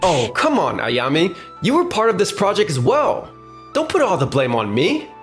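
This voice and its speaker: A man's voice: sits high, wildly swooping pitch, normal speed, faint music behind, pitched above the performer's own voice, full character voice. A man voicing a pleading, defensive young male businessman who keeps losing deals.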